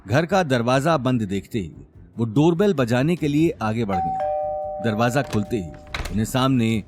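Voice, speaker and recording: A man's voice: mid-range voice, animated, a little slow, darkish tone. A man narrating a scripted story in a dramatic style.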